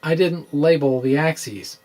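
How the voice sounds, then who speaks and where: A middle-aged man presenting an informal science explainer, with halting, emphatic delivery and wry asides. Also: on the high side, darkish tone, faint music behind. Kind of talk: informal dramatic reading of a physics explainer. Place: small indoor room with bookshelves. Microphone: microphone on stand close in front.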